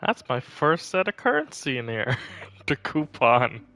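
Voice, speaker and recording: Bright, lively male game streamer. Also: medium pitch, a little slow. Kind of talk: casual live gameplay commentary.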